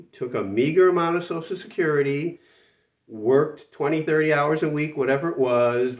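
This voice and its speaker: A man's voice: mid-range voice, dull audio. Exasperated older man, roomy distant sound. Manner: exasperated, emphatic, repeats words for stress. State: slightly nasal from a cold. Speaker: retirement channel host. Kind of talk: talking-to-camera commentary. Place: small room with a whiteboard, indoors.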